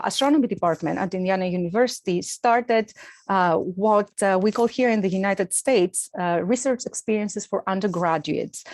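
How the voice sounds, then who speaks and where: A woman's voice: mid-range voice, darkish tone, dry recording. A middle-aged woman from Greece with a Greek accent. She gives an earnest presented talk as an astronomer and fills it with uh and um. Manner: earnest, with frequent uh and um fillers.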